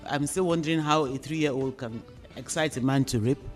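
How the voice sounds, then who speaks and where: A woman's voice: rumbling low, normal speed. Nigerian woman hosting with emphatic phrasing, music underneath. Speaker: host of a radio discussion programme. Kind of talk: radio discussion hosting with measured rhetorical questions.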